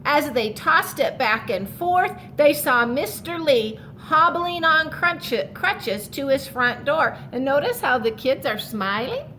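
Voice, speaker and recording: Slow, high-voiced woman reading to children. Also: slight background noise, rough recording. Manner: expressive, stretches key words, explains asides to young listeners. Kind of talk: picture-book read-aloud for children.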